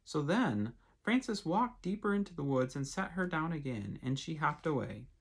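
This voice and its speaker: A man, a pastor, reading and talking to children in an expressive storytime style. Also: a little high, normal speed, slightly rough. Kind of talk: expressive storybook read-aloud with casual asides.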